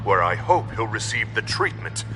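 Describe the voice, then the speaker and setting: A man's voice: on the low side, sing-song, normal speed, very noisy. A man voicing a stern, self-righteous mayor giving a formal, resolute public address in a video game. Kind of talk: political speech in a video game.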